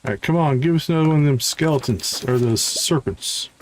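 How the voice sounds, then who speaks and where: A man giving casual live video game commentary, chatting and thinking out loud. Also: on the high side, brisk, slight background noise. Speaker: gaming streamer.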